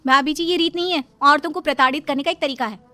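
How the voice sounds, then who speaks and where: A woman's voice: high voice, fast talker, bright, forceful tone, no room sound, soft background music, voice pushed up. A woman voicing an outspoken, indignant young city-bred daughter-in-law in a cartoon moral story.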